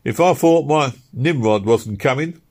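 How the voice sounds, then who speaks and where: A man's voice: mid-range voice, animated, measured pace, a little mellow, voice pushed up. A man voicing a cold, complaining house painter in a storybook reading. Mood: grumbling.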